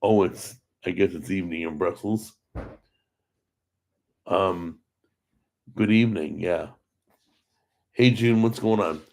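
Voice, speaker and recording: A middle-aged man with a hoarse voice, chatting casually with viewers as host of a live cooking stream. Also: low-pitched, takes it slowly, pauses often, darkish tone. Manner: rambling, chatty, greets viewers by name. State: hoarse from a paralyzed vocal cord. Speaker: host of a cooking channel livestream.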